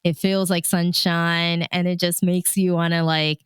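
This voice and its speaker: A woman's voice: fairly low pitch, flat delivery, a little slow, clear, resonant tone, no echo, studio quality. A woman radio DJ chatting as an interview guest, informal and enthusiastic, with plenty of "like" and "you know". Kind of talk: casual conversational interview.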